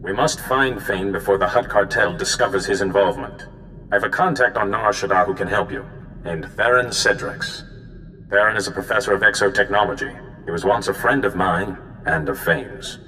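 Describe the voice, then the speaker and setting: A man playing a solemn, worried Jedi mentor in a video game, speaking in measured explanations. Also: on the low side, bright, forceful tone. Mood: grave. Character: grave, worried male Jedi mentor giving orders. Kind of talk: cinematic video game dialogue. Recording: hall reverb, faint background noise.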